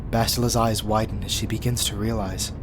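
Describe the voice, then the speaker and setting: A man's voice: on the low side, steady tone, a little fast, noisy background. A man narrating a scripted audio drama, reading scene directions in a dramatic manner.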